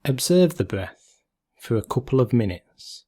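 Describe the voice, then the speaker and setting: Slow, mellow male meditation guide. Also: mid-range voice, slightly rough. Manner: calm, gentle, measured instruction with long pauses.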